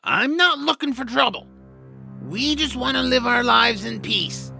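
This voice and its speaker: A man's voice: very high voice, lively intonation, unhurried, creaky, music in the background, heavily acted. An actor playing a reluctant, complaining young male hero in a fantasy audio drama. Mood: pleading. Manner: complaining, exasperated.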